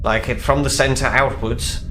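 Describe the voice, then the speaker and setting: A man voicing a formal, curious male adventurer in a tabletop game, speaking in a measured, slightly hesitant way. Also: medium pitch, fairly even intonation, pushed and bright, slight background noise, close to an everyday voice. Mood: earnest. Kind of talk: tabletop role-play in character.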